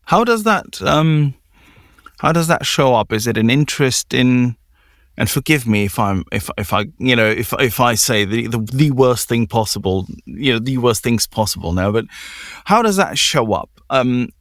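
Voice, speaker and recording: A man hosting a recovery podcast, asking gentle questions and restarting his phrases often. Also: mid-range voice, expressive, normal speed, dry recording, clean studio sound. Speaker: podcast host. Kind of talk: empathetic interview.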